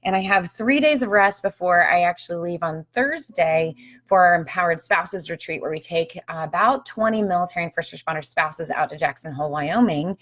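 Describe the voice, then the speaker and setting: Slightly hoarse woman chatting on a live stream. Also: lowish voice, a bit bright. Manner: chatty and upbeat, with frequent ums. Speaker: author and marriage counselor hosting a live video. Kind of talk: casual live-stream chat. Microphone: phone or webcam on a live stream.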